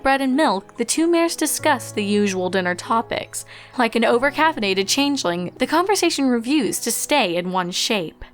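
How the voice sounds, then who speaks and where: A woman reading a story aloud in an expressive, scripted narration style. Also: on the high side. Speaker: fan fiction narrator.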